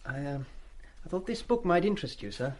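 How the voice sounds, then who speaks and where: A man's voice: fairly high pitch, very animated, a little fast. An actor playing a shy, eager schoolboy in a radio play, speaking politely and diffidently to his master.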